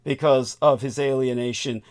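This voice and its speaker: Male talk show host, mid-pitched, wordy and repetitive, steering a panel of guests through live political discussion. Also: steady tone, normal speed, a little mellow.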